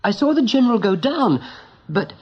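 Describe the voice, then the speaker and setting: A man's voice: extremely high pitch, big pitch swings, moderate pace, slightly rough, full character voice. A man playing a thoughtful, anxious young rabbit in a radio drama, hesitant and wondering. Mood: uneasy wonder. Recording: muffled 1980s radio recording.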